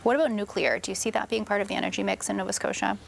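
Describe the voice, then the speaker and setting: A woman's voice: medium pitch, fairly even intonation, very fast, creaky. A woman working as a news interviewer, asking questions in a broadcast interview, with some ambient noise.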